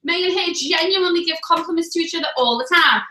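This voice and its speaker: A young woman co-hosting a live stream, talking loudly and energetically and laughing often. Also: extremely high pitch, fast talker, reverberant. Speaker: co-host of a live business coaching stream. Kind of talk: casual live-stream chat.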